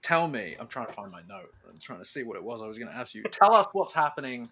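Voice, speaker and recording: Lively, bright-voiced man hosting a podcast. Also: mid-range voice, fast, slightly rough. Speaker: podcast host. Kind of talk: casual chat.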